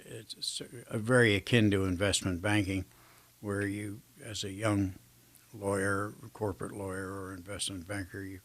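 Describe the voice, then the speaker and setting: A man's voice: lowish voice, level tone, normal speed. An older American man chatting as an interview guest, reflective and self-deprecating, feeling his way through his sentences. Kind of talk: casual anecdotal interview chat.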